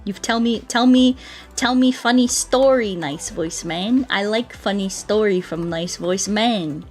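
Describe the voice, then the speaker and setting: A woman with a mid-pitched voice chats casually, like a streamer talking to viewers. Her delivery is playful and expressive as she tells stories. Light music plays behind her. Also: measured pace. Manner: expressive, playful, acts out quoted dialogue. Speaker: game streamer chatting with viewers. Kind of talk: casual chat, storytelling.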